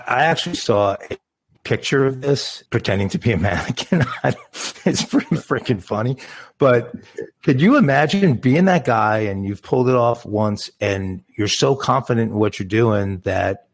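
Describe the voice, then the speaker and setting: A man co-hosting a crime news podcast, adding dry, wry comments in a measured way. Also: mid-range voice, animated. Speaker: podcast co-host. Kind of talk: casual crime news chat.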